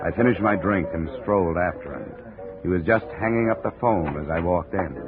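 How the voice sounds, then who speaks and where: An actor playing a terse, dry American investigator in a 1950s radio detective drama. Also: male voice, sits low, fairly quick, over music, close to an everyday voice. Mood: dry, narrating. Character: hard-boiled, dogged investigator narrating his own case. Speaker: lead actor. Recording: narrow-band old radio recording, faint noise.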